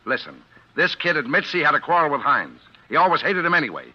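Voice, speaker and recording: A hard-boiled police captain in a 1940s radio crime drama. He is impatient and sarcastic, firing words out quickly. The recording is dull and dated. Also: a little high, very animated, sharp tone, husky, heavily acted. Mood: exasperated. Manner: brusque, badgering, sarcastic. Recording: dull old radio broadcast.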